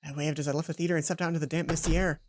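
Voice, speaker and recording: A woman's voice: sits low, fairly even intonation, rapid-fire, darkish tone. A woman reading a visual novel story aloud as a let's play host, with music playing behind her.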